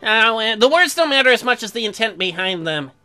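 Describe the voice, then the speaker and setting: Woman reading halting, earnest young farmer husband. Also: a little high, lively intonation, bright, forceful tone. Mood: earnest, patient. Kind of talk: visual novel character reading.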